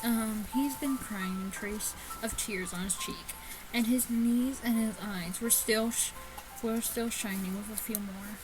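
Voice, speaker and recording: A woman hosting a gaming video, reading a visual novel aloud in a casual, halting way with stumbles and asides. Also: a little high, fairly even intonation, music in the background, rough recording. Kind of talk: casual chat and read-aloud let's play.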